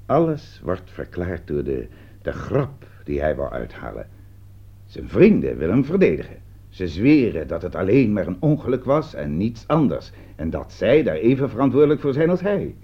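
A man's voice: deep voice, very animated, normal speed, breathy edge, full character voice. A man acting in a radio play as a shrewd, accusing police commissioner, questioning and reasoning methodically and with emphasis. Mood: confident, emphatic. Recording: dull lo-fi radio recording.

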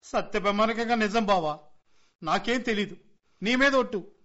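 A man's voice: high voice, normal speed, bright, forceful tone. A man playing a sly, whining middle-aged brother-in-law in a Telugu comedy radio play, a petty thief pleading innocence in a theatrical way. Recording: dull lo-fi radio play recording.

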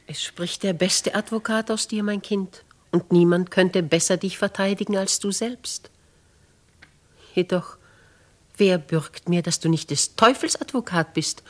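An actress in a 1950s German radio play as a stern, shrewd older noblewoman who questions in a measured, imperious way. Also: lowish voice. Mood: grave, sceptical. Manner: measured, imperious, theatrical questioning with crisp emphasis. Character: stern, shrewd elderly noblewoman, probing like an interrogator. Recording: 1950s radio drama studio recording.

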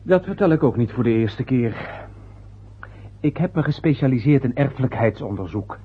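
An actor playing a young adult son, a biology student who explains himself patiently and a little condescendingly. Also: male voice, medium pitch, expressive, normal speed, slight background noise, lightly acted. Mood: defensive. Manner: patient, lecturing. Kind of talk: radio play acting. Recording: dull lo-fi old radio recording.

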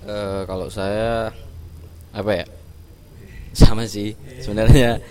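A man's voice: lowish voice, flat delivery, slow, a little mellow. A man, a band musician, giving casual answers in an interview. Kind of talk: casual chat. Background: faint music.

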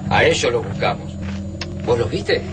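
A man's voice: mid-range voice, sing-song, moderate pace, close to an everyday voice. An actor with an Argentine accent playing a cautious, probing male resistance fighter in a radio drama. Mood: probing, intense. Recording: distant from the mic, noisy ambience.

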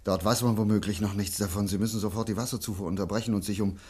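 A man acting in a German radio drama as a concerned water investigator, brisk and insistent. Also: fairly low pitch, fairly even intonation, a little mellow, breathy edge. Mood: urgent, insistent.

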